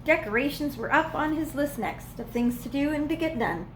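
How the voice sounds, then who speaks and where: A woman reading a children's storybook aloud as a preschool teacher would, with expressive, playful emphasis. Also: high-pitched, normal speed, slight background noise. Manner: dramatic, playful emphasis on repeated words.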